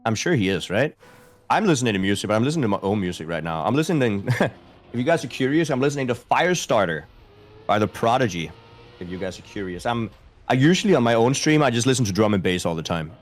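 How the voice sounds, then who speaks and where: A man's voice: medium pitch, sing-song, fast. A man from Denmark, a streamer, chatting casually with his viewers, answering their questions aloud and poking fun at himself.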